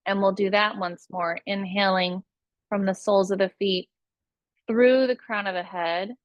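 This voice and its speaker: A woman's voice: medium pitch, steady tone, no room sound, highs cut off. Woman guiding meditation, very dry close sound. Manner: slow, soothing, unhurried instruction with long pauses. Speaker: yoga nidra meditation teacher. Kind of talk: guided relaxation meditation.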